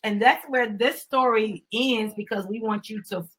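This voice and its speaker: A middle-aged woman with a husky voice, reading a children's book aloud in an animated, encouraging way with explanatory asides. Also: fairly high pitch, normal speed. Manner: encouraging, instructive, addressing children and parents.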